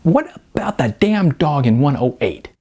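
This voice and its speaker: An exasperated young slacker musician from an audiobook, as acted out by a man, excitable and slangy. His voice leaps up high and swings around indignantly, with a slightly rough edge. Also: normal speed. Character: exasperated young male slacker rock guitarist.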